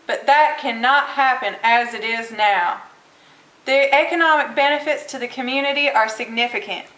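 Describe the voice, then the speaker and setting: A woman's voice: sits high, pushed and bright. A woman playing an insistent advocate of local development, reading scripted lines in an amateur puppet show. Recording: roomy, echoing room sound.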